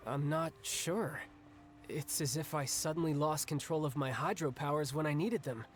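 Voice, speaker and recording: Casting: young male game warrior man acting, higher register, confident, breezy, reflective, restless undertone. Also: normal speed, slight background noise, close to an everyday voice. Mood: puzzled, reflective. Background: music.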